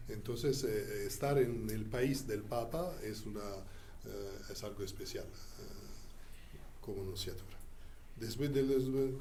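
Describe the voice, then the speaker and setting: Mellow low-voiced man recounting his missions. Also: slight background noise. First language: not Spanish. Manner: deliberate, halting delivery with frequent pauses. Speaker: Church diplomat interviewee. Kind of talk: recounting experiences in an interview.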